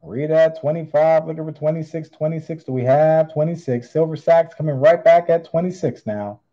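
A man hosting a live online auction, calling bids with theatrical, drawn-out singsong phrases. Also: fairly high pitch, highs cut off. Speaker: online auctioneer, channel host. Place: indoors at a tabletop display.

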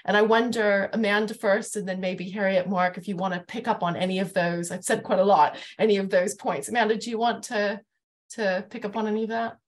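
A woman's voice: mid-range voice, fairly even intonation, brisk. A middle-aged woman moderating a reflective panel discussion on a video call from home. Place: living room at home, indoors.

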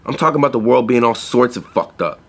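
An actor playing an earnest, deadpan young pet store clerk in a podcast play. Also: male voice, mid-range voice, fast, gravelly. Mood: earnest, reassuring. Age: young adult.

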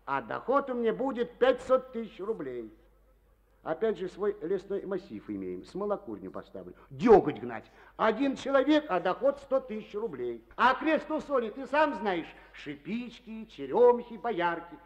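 A man's voice: high-pitched, very animated, normal speed. An actor playing a boastful, hearty collective-farm chairman in a Soviet radio play, folksy and exuberant. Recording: dull old archival radio recording.

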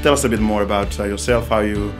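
A middle-aged man hosting a studio interview, with hesitant, halting phrasing. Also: fairly low pitch, fairly even intonation, over music. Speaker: interview host. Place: small studio, seated at a desk.

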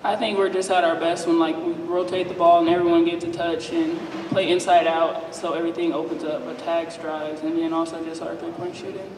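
A young woman athlete answers plainly and low-key, with a flat, even tone. She often opens with a filler phrase. Her voice is caught from a distance in a reverberant hall. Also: fairly quick, few pauses. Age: young adult. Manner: low-key and matter-of-fact, starts answers with "I mean". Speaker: college basketball player. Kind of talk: press conference answers. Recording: echoey hall, distant mic, room noise.

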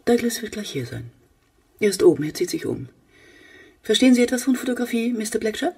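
A needy, fussing middle-aged mother in a radio drama. Her voice is husky and she talks a lot. She sounds a little away from the microphone. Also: fairly high pitch, very animated, fairly quick, a little mellow, recorded from a distance, full character voice. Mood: chatty, wistful. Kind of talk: German radio play acting.

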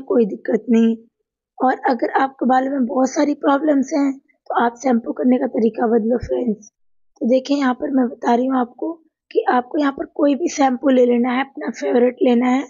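Woman, hoarse, chatty voice-over host, high voice, dull dry recording. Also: dark tone, dry recording. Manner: chatty, addresses viewers as friends. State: hoarse from a sore throat. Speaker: cooking and home remedy channel host. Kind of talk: voice-over narration of a home remedy demo. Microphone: voice-over recorded apart from the video.

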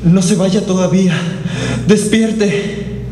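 An actor playing a gentle, anguished devotee at a deathbed, pleading tenderly in a radio drama. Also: male voice, high-pitched, fairly even intonation, slow pace, a little hiss or hum. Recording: echoey radio drama with music.

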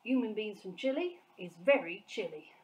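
A big, greedy bully of a giant, put on by a woman reading aloud, sneers in gruff, broken grammar. He is boastful and rude in a storybook way, and his voice sits at an average pitch. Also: animated, normal speed, a little mellow, natural voice. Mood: jeering. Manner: gruff, jeering, mangled grammar.

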